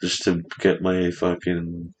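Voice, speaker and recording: A man's voice: deep voice, completely flat delivery, slow pace. A man in his twenties chatting casually on a podcast in a studio, rambling and swearing freely. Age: 20s.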